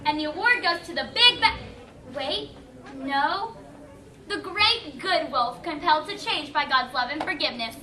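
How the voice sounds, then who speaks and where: Woman as a very high-pitched awards show hostess. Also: lively intonation, normal speed, sharp tone. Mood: announcing. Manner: announcer-like, presentational. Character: peppy fairy-tale awards show hostess. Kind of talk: youth stage comedy acting. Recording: echoey hall from far off, audience noise.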